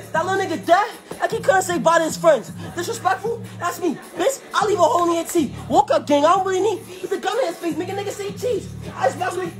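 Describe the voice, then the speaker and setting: A young man doing a squeaky helium voice as an aggressive, boastful drill rapper. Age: young adult. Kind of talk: drill rapping.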